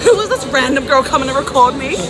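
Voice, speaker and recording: A bubbly young woman with a high, sing-song voice chats into a phone held at arm's length. She giggles and gushes as she walks. Loud crowd chatter and music fill the market around her. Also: expressive, fast talker. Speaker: vlogger. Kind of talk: handheld night-market vlog. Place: crowded indoor night market.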